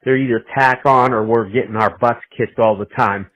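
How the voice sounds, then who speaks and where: A man's voice: medium pitch, steady tone, moderate pace, fairly bright tone, dry recording. A man leaving an opinionated voicemail as a caller to a sports show. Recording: dull lo-fi phone recording.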